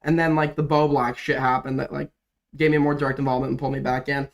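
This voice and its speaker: A man's voice: medium pitch, little pitch movement, fast. A young man doing casual, rambling commentary on a livestream, sick and coughing, his voice cracking now and then, with lots of fillers and swearing. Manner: rambling, profane, filler-heavy. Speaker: drama commentary streamer.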